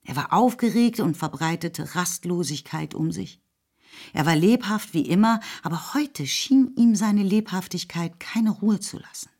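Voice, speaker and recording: A woman's voice: on the low side, lively intonation, measured pace, a little mellow. A woman narrating a radio drama in a scripted literary style. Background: music.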